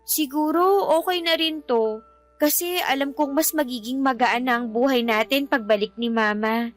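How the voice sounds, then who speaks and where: An actress playing a loving, dutiful nineteen-year-old daughter in a Filipino radio drama, respectful and earnest, with a Filipino accent. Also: female voice, sits high, normal speed, faint music behind, lightly acted. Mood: earnest, wistful.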